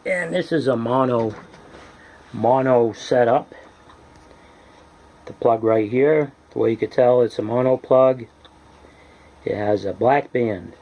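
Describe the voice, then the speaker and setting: Slow-paced man giving a hands-on demo. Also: mid-range voice, highs cut off. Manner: halting, deliberate, plain-spoken. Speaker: hobbyist presenter demonstrating radio gear. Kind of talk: casual hands-on tutorial.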